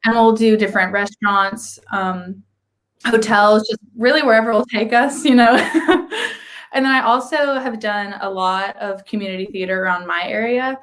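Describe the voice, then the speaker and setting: A woman's voice: mid-range voice, steady tone, moderate pace, a bit bright. A young woman, a singing client who talks candidly and laughs at herself in an interview. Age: young adult. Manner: candid, self-deprecating, laughs often. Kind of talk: casual interview.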